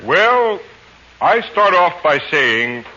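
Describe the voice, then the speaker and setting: A man's voice: on the high side, sing-song, very slow, pushed and bright, full character voice. A man playing a polite, self-assured learned doctor, formal and articulate, in a 1940s radio comedy. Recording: muffled, noisy old radio recording.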